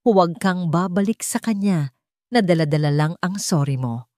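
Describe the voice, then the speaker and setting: A woman's voice: on the low side, animated, normal speed, darkish tone, dry recording, clean studio sound, natural voice. Woman acting a stern, fiercely protective mother. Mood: commanding. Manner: emphatic, commanding, pained.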